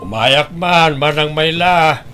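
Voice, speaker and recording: A man's voice: a little high, slow, loud music behind the voice. A man playing a helpful, earnest younger relative in a radio drama, respectful and coaxing. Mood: grave, earnest. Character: helpful, earnest younger kinsman bringing news.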